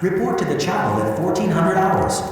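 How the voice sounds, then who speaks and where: An actor playing a brisk, official facility announcer in a video game, heard over a loudspeaker. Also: male voice, very animated, recorded from a distance, a little hiss or hum. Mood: official, matter-of-fact. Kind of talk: public address announcement. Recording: booming echoey PA in large hall.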